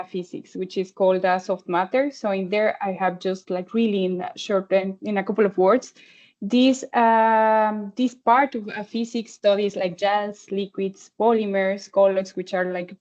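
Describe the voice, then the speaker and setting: A woman in her thirties from Mexico with a Mexican Spanish accent, a postdoctoral researcher presenting her work in a friendly, halting way with many fillers. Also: mid-range voice. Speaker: postdoctoral researcher giving a testimonial. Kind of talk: online presentation. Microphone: video call. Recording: dull lo-fi video call audio.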